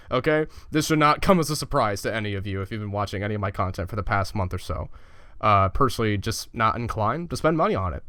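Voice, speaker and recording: A man's voice: mid-range voice, fairly bright tone, no echo, studio quality. A young man giving a casual, profane channel update as a gaming YouTuber, loose and chatty with lots of fillers.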